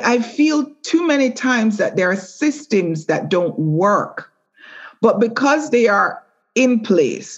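A middle-aged woman, a former prosecutor and judge, speaking earnestly and in a measured way in an interview. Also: mid-range voice, lo-fi sound. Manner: earnest, measured, with repeated restarts. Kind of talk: reflective interview talk.

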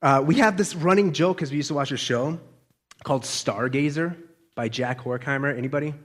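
A man's voice: on the high side, brisk. A man giving an informal, conversational sermon as a preacher, colloquial and often checking in with listeners.

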